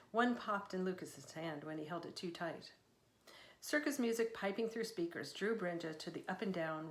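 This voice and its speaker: Echoey woman reading a story aloud. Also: fairly low pitch. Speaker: author reading from own book. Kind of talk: narrative book reading. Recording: echoey room sound.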